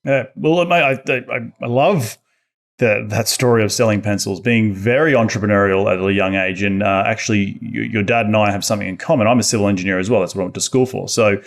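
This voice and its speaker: Man hosting, lowish voice. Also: brisk, creaky. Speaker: podcast host. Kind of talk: casual interview talk. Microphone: headset and desk mic, video call.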